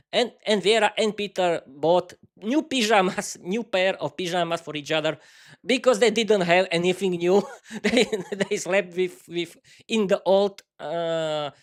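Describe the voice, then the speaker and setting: A man with a Slovak accent, a native Slovak speaker, hosting a casual live-stream podcast in a halting way with many restarts. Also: sits high. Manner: halting, many restarts and fillers, talks to himself by name. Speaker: podcast host.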